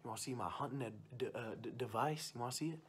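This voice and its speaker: A man's voice: medium pitch, fast, a little hiss or hum, close to an everyday voice. A young man playing a boastful, folksy uncle who rambles about hunting and fishing in an ASMR roleplay. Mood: boastful, enthusiastic. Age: young adult. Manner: folksy, rambling, repeats stock phrases. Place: small room indoors.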